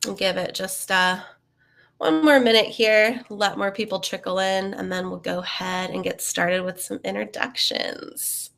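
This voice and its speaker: A woman's voice: medium pitch, fairly even intonation, unhurried, vocal fry, fairly bright tone. A young woman, a bit sniffly from a cold, hosting a live webinar in a chatty, upbeat way with many ums and uhs. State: sniffly, getting over a cold. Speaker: webinar host.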